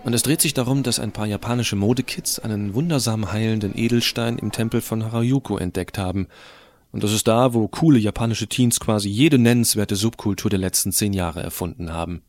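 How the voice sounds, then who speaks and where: A man playing a geeky, cheeky teenage boy who tells his story in colloquial, expressive first-person audio drama narration. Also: lowish voice, fairly quick, close to an everyday voice. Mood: enthusiastic, cheeky.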